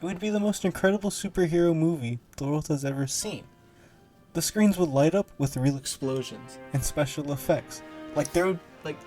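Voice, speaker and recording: A man's voice: a little high, darkish tone, close to an everyday voice. A man playing a boastful young superhero sidekick with a gushing, boyish manner in a comic audio drama.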